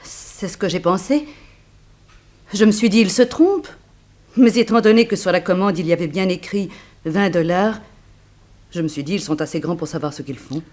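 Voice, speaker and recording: Widow role, played by a man, vintage sixties radio drama: high-pitched, plaintive, earnest, credulous. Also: normal speed, pauses often, a little mellow, lightly acted. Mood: earnest, explaining. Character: plaintive, trusting widow recounting her misfortune. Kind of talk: 1960s French radio drama acting.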